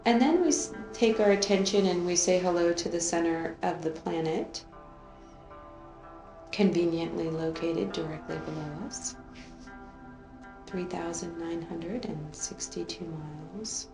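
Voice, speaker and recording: A woman leading an unscripted guided meditation in a soothing, drawn-out way, gently repeating phrases. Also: lowish voice. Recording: roomy echo, faint music underneath.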